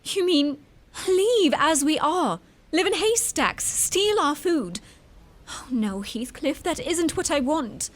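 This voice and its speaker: Headstrong young woman for audio drama, very high and animated, played by an actress. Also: slow pace. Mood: doubtful, refusing. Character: impetuous, demanding, dreamy eighteen-year-old young woman.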